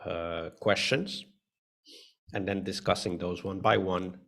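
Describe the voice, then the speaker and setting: A man with a low, gravelly voice hosts a live online session. He talks in a relaxed way, full of fillers and restarts. The sound comes through a video call mic. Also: slow pace. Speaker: host.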